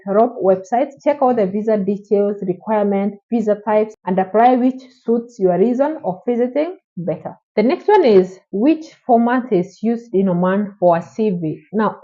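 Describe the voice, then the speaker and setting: A woman's voice: mid-range voice, mellow, muffled recording. A woman vlogging from Oman, answering viewers' questions in an encouraging, repetitive way and talking straight to the camera. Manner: encouraging, repetitive, direct address. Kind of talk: casual advice vlog.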